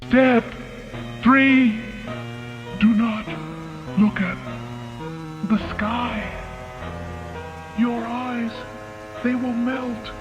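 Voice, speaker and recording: A performer voicing an ominous survival guide in an analog horror video, giving terse commands in halting, word-by-word warnings. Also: medium pitch, dull audio. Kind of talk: analog horror instructional narration.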